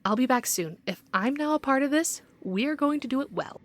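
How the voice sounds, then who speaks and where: A woman playing a stern, by-the-book city investigator in an audio roleplay, speaking in a measured, authoritative, admonishing way. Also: high voice, animated. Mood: firm.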